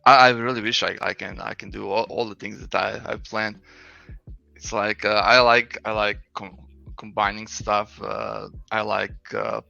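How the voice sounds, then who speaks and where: A man with a Croatian accent talks casually as a guest, hesitant and joking. His voice is on the low side with a lot of creak. The audio is a bit muffled, with faint music behind. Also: level tone, measured pace, sharp tone, dry recording. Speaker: interview guest, 3D designer. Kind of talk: casual unscripted chat.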